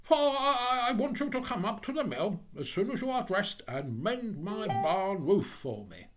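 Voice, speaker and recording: A man playing a loud, pompous storybook miller, swooping high. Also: big pitch swings, a little slow, exaggerated voice. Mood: hearty, bossy. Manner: pompous, preachy, emphatic. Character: pompous, self-important miller, falsely generous friend and exploiter. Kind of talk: theatrical storybook character voice.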